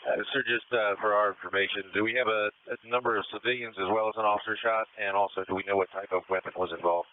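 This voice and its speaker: Man, helicopter crew, low voice, steady repeated callouts, tinny police radio. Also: quick pace, hardly any pauses, slight background noise. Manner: steady, repeats each location twice.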